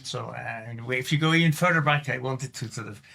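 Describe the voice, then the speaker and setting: A man's voice: medium pitch, fast talker, gravelly, sharp tone. A middle-aged man with a Swiss accent, a guest in a casual interview, speaking thoughtfully with frequent restarts and repeated words. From Switzerland. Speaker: guest climate scientist.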